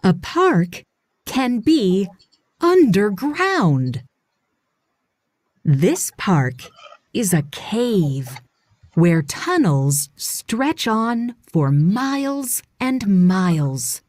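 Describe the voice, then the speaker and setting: Woman narrator for children's nonfiction read-along; extremely slow, animated intonation, dry studio sound. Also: mid-range voice, no room sound. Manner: deliberate, expressive phrasing for young readers. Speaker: audiobook narrator.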